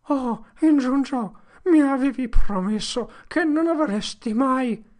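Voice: high voice, lively intonation, measured pace, vocal fry, bright, forceful tone, pitched above the performer's own voice, full character voice. An audiobook narrator voicing a frightened accused man who sobs and pleads that he is innocent. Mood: pleading, sobbing. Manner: tearful, pleading, broken. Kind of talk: audiobook character voice.

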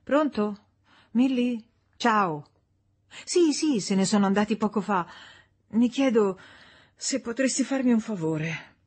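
High-pitched young wife, played by a woman narrator, chatty, polite, brightly social, husky edge, audiobook telephone talk, music underneath. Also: lively intonation, slow pace, pauses often, exaggerated voice.

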